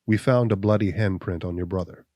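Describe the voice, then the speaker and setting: A man voicing a stern, implacable accuser who speaks in a deep, level voice, slow and measured. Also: mellow, no room sound.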